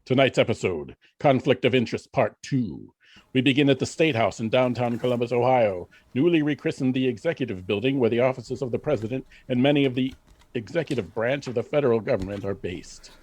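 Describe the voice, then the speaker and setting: A man's voice: mid-range voice, expressive, a little fast, a little mellow. A man narrating and announcing a scripted radio drama.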